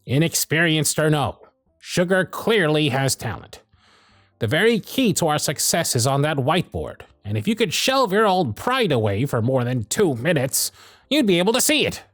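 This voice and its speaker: A man's voice: on the high side, sing-song, moderate pace, a bit bright, dry recording, faint music behind. A man playing a proud, sardonic but protective supervisor in a scripted ASMR roleplay, with theatrical, exasperated phrasing. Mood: indignant, scornful. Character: proud, sardonic male workshop supervisor, protective of his intern. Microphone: close studio mic, dry.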